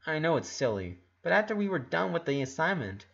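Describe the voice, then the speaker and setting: A man's voice: a little high, very animated, fairly quick, gravelly, fairly bright tone, dull audio, heavily acted. A man voicing a shy, guarded tomboyish young girl in a storybook reading, hesitant and bashful. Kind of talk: storybook character dialogue.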